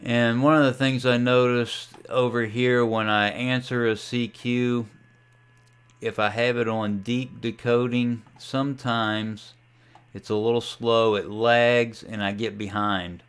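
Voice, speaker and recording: A man giving a casual, folksy tutorial as a ham radio hobbyist, talking through a software demo in an unhurried way. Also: medium pitch, little pitch movement, clean tone. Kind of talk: casual screen-share tutorial.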